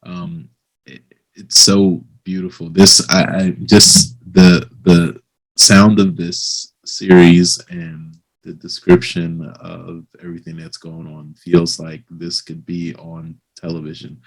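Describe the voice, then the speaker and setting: A man hosting a casual interview, talking informally in a somewhat halting way. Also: sits low, flat delivery, rough recording. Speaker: interviewer, host.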